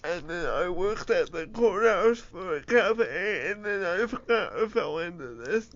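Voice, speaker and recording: A man's voice: high voice, expressive, a little slow, fairly bright tone, dry recording, highs cut off. A young man on a radio show, chatting casually and cracking self-deprecating jokes. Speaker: radio promotions assistant, guest.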